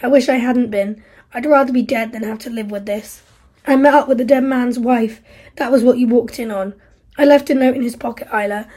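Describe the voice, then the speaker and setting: Woman doing a wrecked angry guy in a story, bitter, mellow husky voice. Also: fairly high pitch. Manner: anguished, bitter, raised voice. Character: grief-stricken angry young man, survivor.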